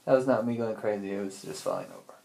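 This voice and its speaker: A man's voice: lowish voice, fast, creaky, warm tone, slight background noise. A young adult man talking casually to himself in an informal, rambling monologue that often interrupts itself. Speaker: personal audio diarist. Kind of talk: casual thinking-aloud monologue.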